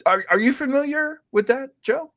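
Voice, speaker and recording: A man's voice: very high-pitched, expressive, moderate pace, a bit bright, no room sound, clean studio sound. A man hosting a casual live talk show, speaking in an enthusiastic, rambling way with hesitant phrasing. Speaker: host of a livestream about classic radio and comics. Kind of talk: casual live chat commentary.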